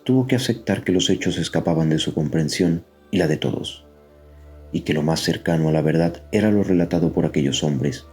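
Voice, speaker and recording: A man's voice: very deep voice, a little mellow, husky. A man narrating a scripted dramatic story in a solemn, suspenseful manner.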